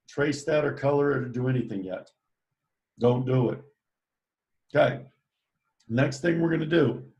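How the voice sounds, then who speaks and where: A man's voice: mid-range voice, a little mellow. A man teaching a live online drawing lesson, giving playful step-by-step instructions in an upbeat way. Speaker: art teacher.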